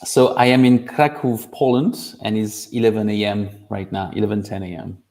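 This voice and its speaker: A man's voice: lowish voice, steady tone, normal speed, darkish tone. Frenchman in his forties chatting casually. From France. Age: 40s. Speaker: interview guest, boardgame publisher. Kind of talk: casual chat, personal anecdotes.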